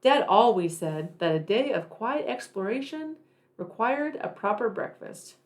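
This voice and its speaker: A woman reading a picture book aloud to young children, deliberate and expressive in her narration. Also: fairly high pitch. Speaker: children's read-aloud storyteller.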